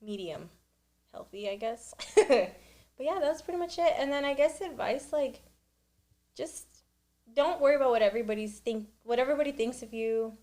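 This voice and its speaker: A woman's voice: on the high side, lively intonation, normal speed, warm tone. Chatty, giggly young woman. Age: young adult. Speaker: podcast guest. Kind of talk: casual chat.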